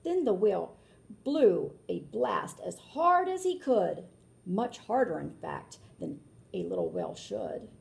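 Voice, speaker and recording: A woman reading a children's picture book aloud like a teacher, animated, with explanatory asides and questions to her listeners. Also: medium pitch, unhurried, vocal fry.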